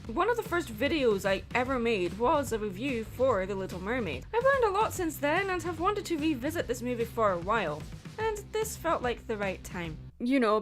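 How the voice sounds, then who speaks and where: A woman narrating a scripted video essay in a playful, expressive way, often posing rhetorical questions. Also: fairly high pitch. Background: background music.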